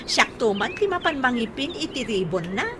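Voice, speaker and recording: Fussy, vain Ilocano mum played by a woman, high and very animated, radio comedy, noisy recording. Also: normal speed. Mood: proud, bantering. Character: fussy, vain mother with a sharp temper. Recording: noisy radio drama recording, ambient sounds.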